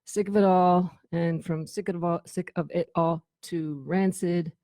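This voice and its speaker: A woman's voice: lowish voice, fairly even intonation, a little slow, mellow, no room sound, clean studio sound. A middle-aged woman chatting casually as a guest, telling anecdotes in an informal, sweary way and acting out bits of dialogue. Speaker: guest, former band tour manager.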